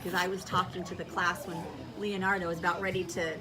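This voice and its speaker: A woman's voice: fairly low pitch, little pitch movement, quick pace. A woman schoolteacher giving instructions to children in a classroom, projecting her voice and checking understanding with questions. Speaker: elementary school teacher. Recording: distant mic, noisy classroom.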